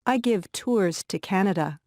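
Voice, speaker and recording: A woman reading a scripted introduction in a plain, clear delivery. The recording is dry and close. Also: medium pitch, animated, a little slow, darkish tone, no echo. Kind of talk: scripted textbook dialogue.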